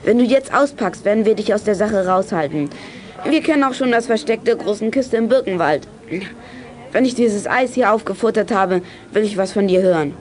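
A woman's voice: medium pitch, expressive, normal speed, lightly acted. A woman playing a bold boy who leads young detectives in a 1980s children's audio drama, confident and commanding. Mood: confident, pressing.

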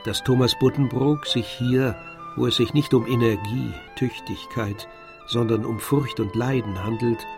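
A male narrator with a gravelly, medium-pitched voice. He reads literary radio drama narration in a measured way, with faint music underneath. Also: fairly even intonation.

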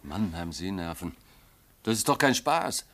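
A young-hearted but anxious officer on guard duty in a radio play. His fairly low voice is earnest and uneasy, keeping to correct military phrasing. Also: sing-song, normal speed, a bit bright, full character voice. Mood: incredulous, kindly. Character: scrupulous, anxious French army lieutenant on guard duty. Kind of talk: German radio play acting.

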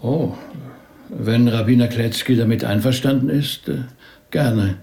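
An actor in a German radio drama playing a considerate, probing rabbi with a measured, polite manner. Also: male voice, medium pitch, creaky, warm tone. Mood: gentle, concerned.

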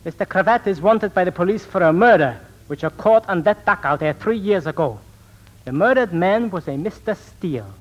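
An actor in a 1940s radio drama playing a sinister, bitter small-time swindler and phony psychic, with formal, theatrical diction. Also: male voice, high voice, expressive, moderate pace. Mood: menacing. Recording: muffled 1940s radio recording.

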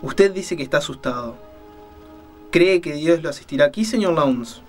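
A man playing a delusional kidnapper in an audio drama, speaking formally and deliberately with mocking politeness. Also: fairly high pitch, lively intonation, faint music behind, lightly acted. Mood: controlled menace. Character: menacing male kidnapper, a delusional killer, coldly courteous.

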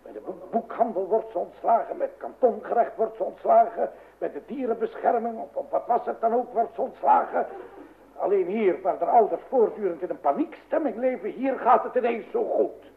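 A grumbling, jealous old husband in a radio play. His voice is high and gravelly, and he stammers and repeats himself as he frets. It sounds like a thin, noisy old radio recording. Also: expressive, normal speed, phone-quality sound. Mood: fretful. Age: older. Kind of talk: Dutch radio play acting.

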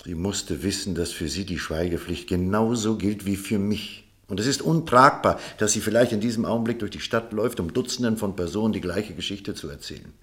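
An actor playing a principled, unyielding doctor in a German radio play, speaking in a formal, measured, firm manner. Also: male voice, lowish voice, a bit bright, natural voice. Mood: stiff, unyielding. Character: principled, unyielding male doctor guarding his secrets.

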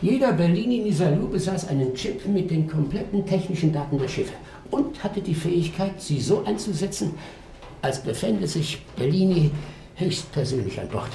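A man's voice: fairly high pitch, vocal fry, dark tone, echoey room, a little hiss or hum. An actor in a German radio drama playing a talkative, wistful old male robot, a courteous, rambling ship's officer.

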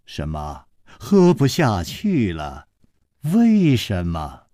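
A man voicing an effusive male banquet host who gushes in a raised, excited voice. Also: fairly low pitch, drawn-out words, no echo, clean studio sound, full character voice. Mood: puzzled. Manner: effusive and gushing.

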